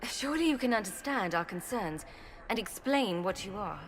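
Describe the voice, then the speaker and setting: Woman acting wary warrior heroine, fantasy game drama, earnest, battle-hardened, mid-pitched, noticeable background ambience. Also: animated, moderate pace, slight background noise, natural voice.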